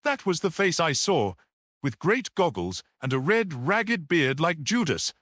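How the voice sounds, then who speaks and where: Man voicing an excitable woman, pitched way up. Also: measured pace, no room sound, clean studio sound, full character voice. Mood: fierce excitement. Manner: emphatic, excited. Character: fierce, excitable woman, frightened witness.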